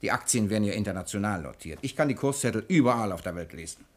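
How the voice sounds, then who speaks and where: A quick-witted, self-assured cashier hatching a plan in a vintage radio crime drama. His voice sits a little low and swoops widely as he talks. The delivery is brisk, theatrical and commanding. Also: animated. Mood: confident, brisk. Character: cocky, quick-witted male bank cashier plotting a sham robbery. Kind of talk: 1960 German radio crime drama acting.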